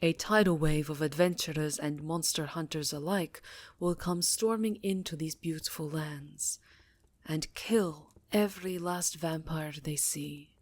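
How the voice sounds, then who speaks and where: A woman playing an imperious, secretive vampire countess in an intimate ASMR roleplay, speaking in a measured, dramatic way that dips into breathy whispers. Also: low-pitched. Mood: grave, resolute.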